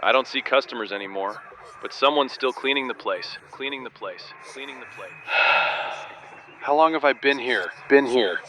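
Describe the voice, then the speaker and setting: An actor playing a desperate store clerk who is trapped and unravelling, leaving an anxious, emotional voice message in an audio drama. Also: male voice, mid-range voice, moderate pace, bright, forceful tone, close to an everyday voice. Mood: despairing. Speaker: store cashier. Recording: muffled lo-fi voice message.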